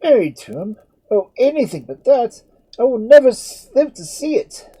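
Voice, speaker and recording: A man voicing a proud, desperate young nobleman who declaims loudly and passionately with a breathy voice. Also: very high voice, big pitch swings, normal speed, dry recording, pitched above the performer's own voice, full character voice, poor audio. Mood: anguished. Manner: loud, declamatory and impassioned.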